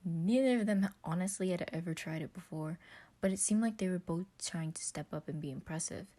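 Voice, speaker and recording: A woman's voice: on the low side, brisk, warm tone. A woman reading a scripted story aloud as narrator. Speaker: podfic reader.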